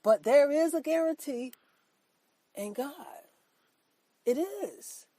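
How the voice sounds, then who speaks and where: Woman host, mellow stuffy voice from a cold, earnest repetitive motivational talk, dry close sound. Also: sits high, expressive, slow, no room sound. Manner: earnest, repetitive, emphatic preaching; affirming herself with "that's right". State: congested from a cold. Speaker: podcast host.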